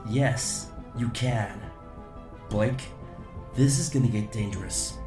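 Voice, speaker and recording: An actor playing a firm, protective security officer in an audio drama, earnest and decisive. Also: male voice, fairly low pitch, slow. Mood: firm, caring. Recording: echoey room with music.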